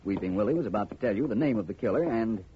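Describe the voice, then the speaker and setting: An actor as a low-voiced, skeptical detective. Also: male voice, expressive, very fast, close to an everyday voice. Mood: cool. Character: cool, skeptical gentleman private detective. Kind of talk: 1950s radio drama acting. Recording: muffled, noisy old radio recording.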